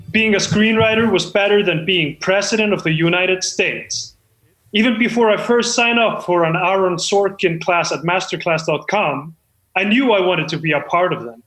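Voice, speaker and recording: A man's voice: high voice, sharp tone. A man playing a deluded, eager amateur screenwriter who hosts a comedy show in an effusive, self-important manner. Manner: effusive, self-important, stumbling when off script. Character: deluded, eager amateur screenwriter hosting his own reading. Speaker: host. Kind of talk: comedic monologue and mock talk-show hosting. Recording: roomy echo.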